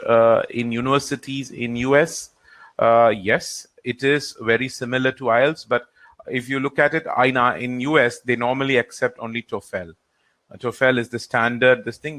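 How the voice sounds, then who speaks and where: Man answering questions, halting. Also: medium pitch. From India. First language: Hindi or Gujarati. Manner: relaxed, halting, with frequent fillers. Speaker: trainer. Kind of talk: answering audience questions in a live session.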